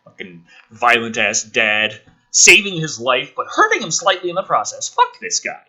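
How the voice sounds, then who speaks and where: A man's voice: fairly high pitch, huge pitch swings, normal speed, pushed and bright, slightly rough. A man giving a ranting, sarcastic commentary as he reads a screenplay aloud, profane and exasperated. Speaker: host of a movie script review.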